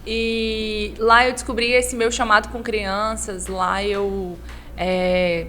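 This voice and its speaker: A woman's voice: mid-range voice, flat delivery, slow, a bit bright, slight background noise. A woman pastor from Imperatriz, telling her life story as a podcast guest. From Imperatriz, Brazil. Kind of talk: personal storytelling.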